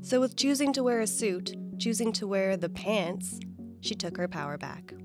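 A woman's voice: mid-range voice, normal speed. Woman reading a news feature script. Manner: even, read-aloud delivery. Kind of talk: scripted narration. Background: background music.

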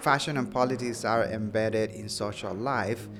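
Cuban-born professor, low gravelly voice, halting and repetitive interview delivery over background music. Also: male voice, steady tone. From Cuba. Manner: halting, repeats words while thinking. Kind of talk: interview answers.

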